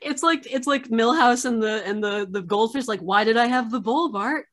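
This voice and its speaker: A woman in her thirties hosting a casual interview over a video call from home, chatty and animated, laughing often. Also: a little high, moderate pace. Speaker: archivist and show host. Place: home room with framed pictures on yellow wall.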